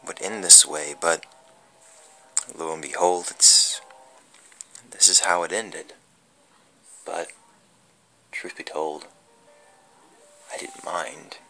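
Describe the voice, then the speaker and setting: A man's voice: low voice, creaky, pushed and bright, heavily acted. A man playing a melancholy, tormented dead puppeteer in a creepy horror story reading, with brooding theatrical delivery. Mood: resigned, haunted. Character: creepy undead puppeteer, melancholy tormented young man's ghost. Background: music.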